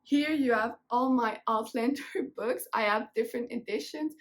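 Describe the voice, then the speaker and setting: A woman's voice: on the high side, normal speed. A woman in her twenties from Quebec, French her first language, speaking English with a Québécois French accent, chatting casually to camera as a book vlogger at home, with many fillers and restarts. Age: 20s. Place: home room.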